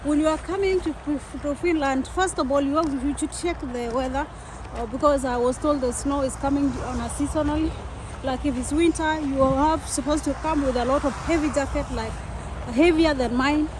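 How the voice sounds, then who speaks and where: South sudanese lady, high voice, casual street interview, noisy traffic outside. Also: moderate pace. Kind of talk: casual chat. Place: outdoors on a winter city street.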